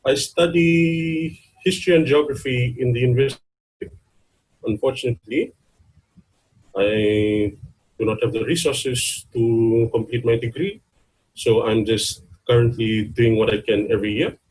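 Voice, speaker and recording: A man with a Fijian accent and a slightly shaky voice, giving an informal talk to an online gathering with hesitant pauses. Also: mid-range voice, fairly even intonation, darkish tone, slightly rough, rough recording. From Fiji, Lau islands. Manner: hesitant, pausing mid-phrase. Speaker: guest speaker, university student. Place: online video meeting.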